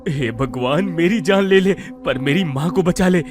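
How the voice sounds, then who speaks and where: A man's voice: on the high side, a little slow, over music, close to an everyday voice. A man playing a histrionic, accusing middle-aged uncle in an audio drama. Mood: wailing, accusing. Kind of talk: Hindi audio drama acting.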